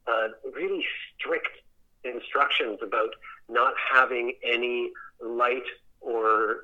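Male interview guest, stage director type, mid-pitched and bright, searching conversational delivery, slightly muffled lo-fi recording. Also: steady tone. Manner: halting, reflective, with repeated words and trailing restarts.

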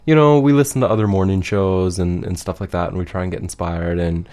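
Low-voiced guy doing jokey radio banter, kinda halting, mock serious. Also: fairly even intonation, a little mellow. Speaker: radio show co-host. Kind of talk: casual humorous radio banter.

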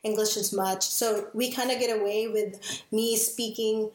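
A chatty woman on a podcast, telling a story in an easy, rambling way, with "like" and "you know" dotted all through it. Her voice sits a little higher than most women's. The room around her gives it a noticeable echo. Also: fairly even intonation, moderate pace. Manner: chatty, leans on "like" and "you know", laughs often. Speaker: podcast co-host. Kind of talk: casual podcast chat. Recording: roomy echo.

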